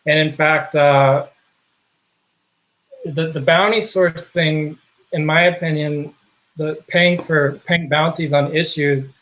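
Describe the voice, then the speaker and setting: Male interview guest, slightly raised pitch, halting casual delivery over a video call. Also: steady tone, fairly bright tone, rough recording. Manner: halting, many fillers and restarts. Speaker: guest, software project contributor.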